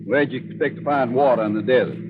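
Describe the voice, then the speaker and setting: A man's voice: medium pitch, fast, lightly acted. An actor playing a blunt, hot-headed, indignant man held hostage in a 1940s radio drama. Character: blustering, hot-headed man taken hostage while driving. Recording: noisy, dull 1940s radio recording.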